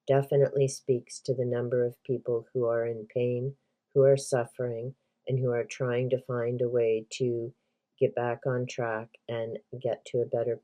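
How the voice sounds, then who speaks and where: A woman whose first language is Hungarian, hosting a book tag vlog in an earnest, measured way. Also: rumbling low, dark tone. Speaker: book channel host.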